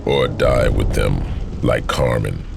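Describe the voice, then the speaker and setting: An actor playing a cold, menacing male alter ego who taunts in a slow, low, gravelly drawl, in game audio over music. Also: noisy background, exaggerated voice. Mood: taunting.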